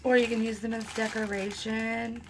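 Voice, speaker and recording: A woman hosting a soap-making video, talking softly and casually because her throat is sore and she is losing her voice. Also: a little high, flat delivery, normal speed, noisy background. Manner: soft-spoken, talks quietly. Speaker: soap-making channel host. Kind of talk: casual craft tutorial narration.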